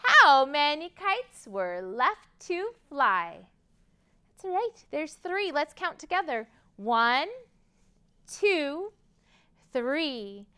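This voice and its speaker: Very slow, high-pitched American storytime woman. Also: big pitch swings, pushed and bright. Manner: sing-song, exaggerated for young children. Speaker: children's librarian leading storytime. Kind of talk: interactive children's storytime. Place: library room, indoors. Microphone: lapel.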